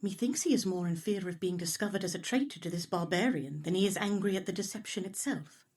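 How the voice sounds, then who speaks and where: A woman performs a bold heroine of a swashbuckling audio play. She is earnest and courtly, with old-fashioned thees and thous, heard dry and close at a middle pitch. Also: darkish tone, breathy edge, dry recording, lightly acted. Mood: earnest, apologetic. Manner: earnest, deliberate archaic speech.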